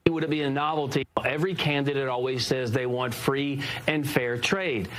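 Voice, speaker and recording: A man's voice: mid-range voice, fairly even intonation, normal speed, fairly bright tone, a little hiss or hum. A man delivering political talking points.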